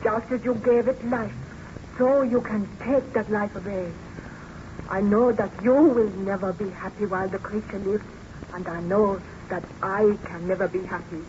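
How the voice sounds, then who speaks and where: A woman's voice: medium pitch, fairly even intonation, moderate pace, dark tone, natural voice. Woman acting a pleading sweetheart, muffled old radio. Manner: earnest, melodramatic. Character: pleading, distraught young woman in love, firm-willed. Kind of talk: 1930s radio drama acting. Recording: muffled old radio recording, faint noise.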